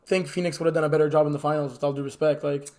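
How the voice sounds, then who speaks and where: Fast-talking young man, teasing sports banter. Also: on the high side, steady tone, a little mellow. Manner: quick, confident, teasing. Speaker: co-host. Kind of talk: casual sports recap chat.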